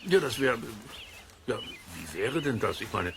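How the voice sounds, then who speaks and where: A man's voice: on the low side, sing-song, measured pace. A man playing an easygoing, curious gardener in a German children's radio play, musing and hesitant as he talks. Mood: pondering. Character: easygoing, curious male gardener living in a trailer. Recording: noisy cassette transfer of radio play.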